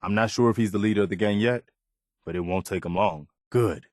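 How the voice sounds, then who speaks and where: An actor playing an earnest, loyal and idealistic militia officer in a video game, measured and plain-spoken. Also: male voice, on the low side, a little mellow, dry recording, clean studio sound, natural voice. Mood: approving. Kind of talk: video game character dialogue.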